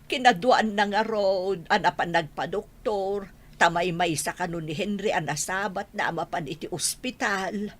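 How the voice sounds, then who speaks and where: A woman's voice: mid-range voice, moderate pace, close to an everyday voice. An older woman acting a worried elderly mother and grandmother in a Filipino audio drama.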